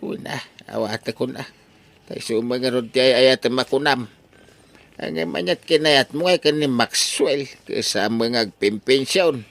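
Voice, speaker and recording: A male actor as an elderly Ilocano dad in a comedy radio drama. He stammers and hesitates, easily flustered, in a gravelly, sharp-edged voice. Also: medium pitch, a little slow, dry recording. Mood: grudging.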